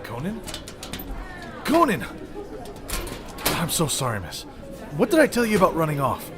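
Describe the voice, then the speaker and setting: A man's voice: on the high side, wildly swooping pitch, measured pace, crowd noise. A man playing an anxious, protective young older brother in a sci-fi audio drama, fretful and a little awkward. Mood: worried scolding.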